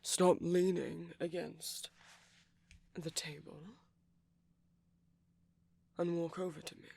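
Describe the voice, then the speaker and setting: Male villain boyfriend for ASMR roleplay, acted by a man: husky and gravelly, commanding but caring, slow and coaxing, often slipping into whispers. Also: fairly high pitch, little pitch movement, a little mellow, full character voice. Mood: commanding, teasing. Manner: slow, deliberate, coaxing with pointed pauses. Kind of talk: intimate ASMR roleplay acting.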